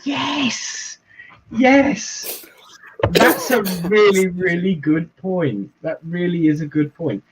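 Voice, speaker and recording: A man's voice: high-pitched, expressive. A man co-hosting a livestream as a reverend, chatting casually and chuckling often. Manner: chuckling, easygoing, drawn-out phrasing. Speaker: reverend co-host. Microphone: video call. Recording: dull lo-fi livestream audio.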